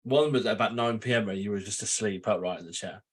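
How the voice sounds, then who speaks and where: A man's voice: lowish voice, fast talker, breathy edge. A man co-hosting a casual podcast, teasing his friend with questions and often laughing. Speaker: podcast co-host. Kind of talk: casual chat.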